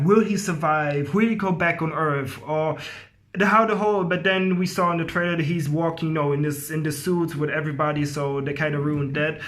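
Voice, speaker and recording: High-pitched man, casual movie reviewer. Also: a bit bright. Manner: enthusiastic, informal, repeats phrases for emphasis.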